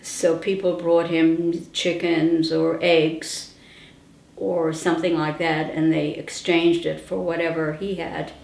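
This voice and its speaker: A woman's voice: sits low, little pitch movement, slow, vocal fry, darkish tone. An older woman, recalling her childhood as a storyteller with wry asides. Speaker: interviewee. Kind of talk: personal oral-history reminiscence. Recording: roomy indoor echo.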